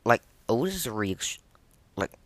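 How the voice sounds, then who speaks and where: A man hosting a casual gaming podcast, talking in a halting way and often repeating words as he restarts. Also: medium pitch, big pitch swings, no echo. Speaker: podcast host.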